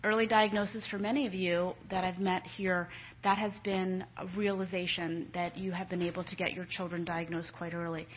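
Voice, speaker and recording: A woman's voice: lowish voice, level tone, normal speed, hardly any pauses, slight background noise. A middle-aged woman, a nurse, giving a conversational conference talk to families, with many filler ums. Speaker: nurse speaker. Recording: dull lo-fi lecture recording.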